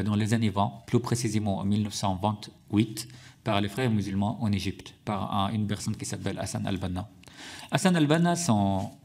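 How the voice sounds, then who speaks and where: Man, low gravelly voice, halting committee testimony. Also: a little mellow. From Syria. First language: Arabic. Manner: hesitant, many fillers and restarts. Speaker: witness at a parliamentary hearing. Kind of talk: formal testimony. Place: parliamentary committee room.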